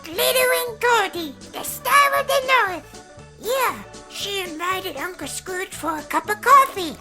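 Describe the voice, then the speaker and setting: An actor voicing an excitable young cartoon duck nephew who tells stories earnestly and eagerly in a fan comic dub. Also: very high voice, slow pace, soft background music, full character voice. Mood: earnest storytelling. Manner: earnest, enthusiastic storytelling.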